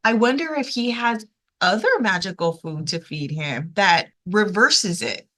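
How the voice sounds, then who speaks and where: A woman reading a story aloud to children in an expressive, emphatic way, addressing young listeners directly. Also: mid-range voice, measured pace.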